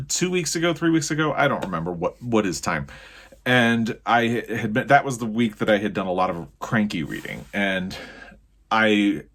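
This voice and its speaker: An American man talking casually as a book vlogger about his reading, rambling and often correcting himself as he goes. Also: on the low side.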